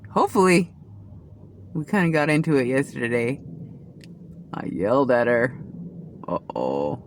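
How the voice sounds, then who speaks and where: A woman's voice: very deep voice, wildly swooping pitch, measured pace, slight background noise. A woman giving a casual tarot reading, talking in a rambling, chatty way with many restarts and asides. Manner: says she has a loud voice. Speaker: tarot reader.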